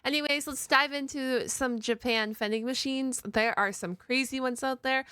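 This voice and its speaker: A woman doing casual, chatty reaction commentary, exclaiming and thinking out loud in an informal way. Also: sits high, fairly even intonation, moderate pace, clear, resonant tone, dry recording, clean studio sound. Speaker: reaction video streamer and host.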